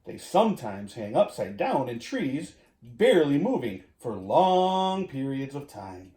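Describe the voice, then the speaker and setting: A man reads a picture book aloud to children at an unhurried pace. His delivery swings widely in pitch, with expressive stretched emphasis. The room adds audible echo. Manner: expressive, drawn-out emphasis, addressing listeners and dog. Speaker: community education director reading to children. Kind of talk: animated children's storybook read-aloud. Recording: roomy echo.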